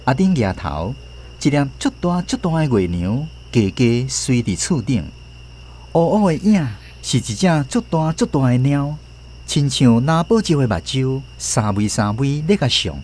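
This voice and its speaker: Expressive Taiwanese man narrating a story. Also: mid-range voice, unhurried. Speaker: radio drama narrator.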